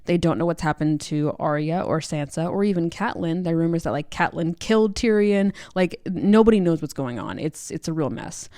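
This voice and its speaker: A woman's voice: fairly low pitch, brisk, few pauses, dark tone, no room sound, clean studio sound. A woman co-hosting a casual book discussion podcast in a playful, teasing manner. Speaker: podcast co-host.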